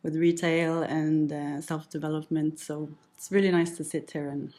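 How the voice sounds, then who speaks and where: A Norwegian-accented woman in her late thirties chats as a podcast guest, her voice sitting a touch low. She talks in an easygoing, reflective way, with the odd light laugh. Her first language is Norwegian. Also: little pitch movement, moderate pace, dark tone. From west coast of Norway. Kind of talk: casual conversational storytelling.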